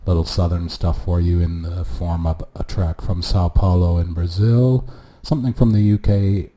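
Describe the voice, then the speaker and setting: Deep-voiced male radio DJ, dark tone. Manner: relaxed, unhurried, with many "uh" pauses. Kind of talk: casual radio show intro. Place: at home, street noise coming in.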